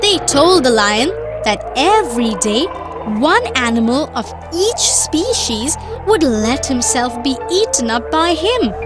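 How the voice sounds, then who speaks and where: High, lilting storybook mother. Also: full character voice. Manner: deliberate, instructive. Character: patient mother telling moral stories to her children. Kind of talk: scripted children's storytelling.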